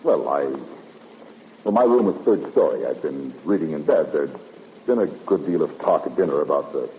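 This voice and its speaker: An actor playing a fussy, evasive, defensive witness with a hesitant delivery in a 1950s radio drama. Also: male voice, a bass voice, animated. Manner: hesitant, halting. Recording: muffled noisy 1950s radio, long reverb.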